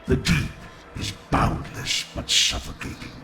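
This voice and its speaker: An actor voicing an ancient, menacing undead sorcerer in a video game, speaking in cryptic, portentous riddles with a breathy rasp. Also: male voice, a little slow, sharp tone. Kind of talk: video game villain acting. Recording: music behind the voice.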